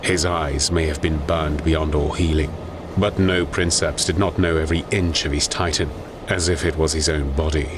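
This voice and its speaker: Very deep-voiced male story narrator. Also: fairly even intonation, moderate pace, noisy background. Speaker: narrator and voice performer. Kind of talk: dramatic scripted audio-story narration.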